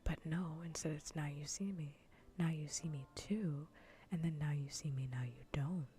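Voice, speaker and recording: Low-pitched woman whispering softly. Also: normal speed. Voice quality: soft, breathy, mostly whispered. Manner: informal, rambling, emphatic repetition. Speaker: livestream host. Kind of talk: soft-spoken ASMR casual livestream chat.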